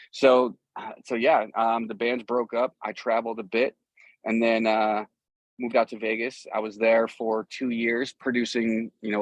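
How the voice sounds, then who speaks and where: A man's voice: lowish voice, no echo, rough recording. A middle-aged man chatting casually as an interview guest, telling stories in a rambling, easygoing way. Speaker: guest, musician. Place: outdoors.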